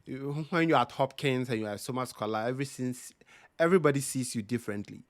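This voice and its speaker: Dry-sounding interview host, mid-pitched man. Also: fast talker, no echo. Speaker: podcast host. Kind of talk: interview conversation.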